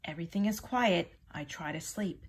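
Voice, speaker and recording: Female storybook reader, teacher type: lowish, husky, expressive delivery for small children. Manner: expressive, drawn-out sound words. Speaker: teacher reading a picture book aloud. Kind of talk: animated children's storybook reading.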